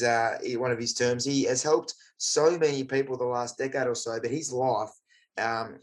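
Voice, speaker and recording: Matey Australian podcast host. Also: male voice, mid-range voice. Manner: enthusiastic, matey, stumbling restarts. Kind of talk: casual podcast interview.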